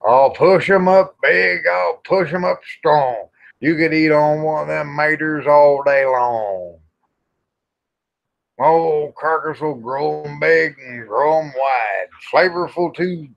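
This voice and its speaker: A man's voice: on the high side, slow, vocal fry. A middle-aged man, hosting a casual livestream chat in a folksy, rambling, salty-tongued way. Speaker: livestream host. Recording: dull lo-fi livestream audio.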